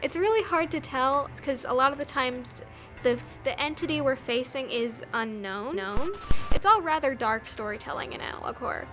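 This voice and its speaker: A high-pitched woman gives casual, conversational commentary with an enthusiastic manner. Faint music plays behind her as she talks in an interview style. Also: normal speed. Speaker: documentary interviewee, filmmaker.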